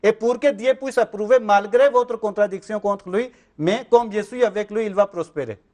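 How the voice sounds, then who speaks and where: A man, speaking in a fairly high voice. He argues insistently as an interview guest, circling back to check that he is understood. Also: moderate pace, a little mellow. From Pakistan. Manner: insistent, repeats "vous comprenez?". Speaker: Ahmadi missionary guest. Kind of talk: religious interview argument.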